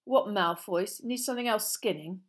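A woman voicing a loyal, hot-tempered schoolboy in an audiobook, speaking roughly and bluntly. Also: mid-range voice, normal speed, lightly acted. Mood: rough challenge.

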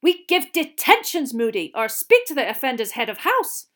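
Storybook role: stern schoolmistress witch, high, shrill, indignant and very correct. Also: big pitch swings, moderate pace, a bit bright, full character voice. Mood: flustered, reproving.

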